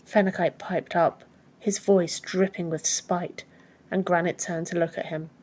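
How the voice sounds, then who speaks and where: A woman's voice: fairly low pitch, little pitch movement, a little slow, a little mellow. A woman reading scripted fiction as an audiobook narrator.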